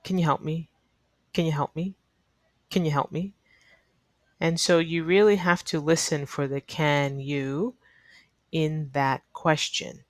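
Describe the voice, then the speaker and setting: A woman's voice: deep voice, steady tone, slow pace, long pauses, dry recording. A woman teaching an online English lesson in a neutral American accent, explaining earnestly to learners and repeating key advice for emphasis. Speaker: ESL teacher.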